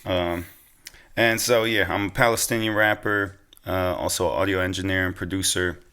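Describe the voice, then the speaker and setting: Young American man, very deep voice, crisp bright edge, relaxed slangy delivery, casual podcast guest. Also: moderate pace. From Pennsylvania, United States. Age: young adult. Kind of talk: casual interview chat.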